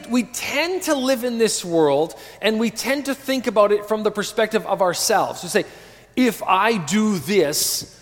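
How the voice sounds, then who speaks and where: A man's voice: high-pitched, long reverb tail. A man in his forties preaching an earnest sermon as pastor, calling on the congregation to answer. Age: 40s. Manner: earnest, calls for congregation responses.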